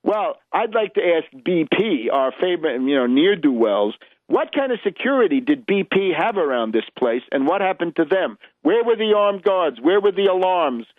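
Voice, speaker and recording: A man hosting a radio show, giving opinionated political commentary with emphatic, deliberate, halting phrasing and a mocking edge. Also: sits high, very animated, dry recording. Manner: emphatic, rhetorical questions, mocking when quoting. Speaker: radio host. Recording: narrowband, muffled radio broadcast sound.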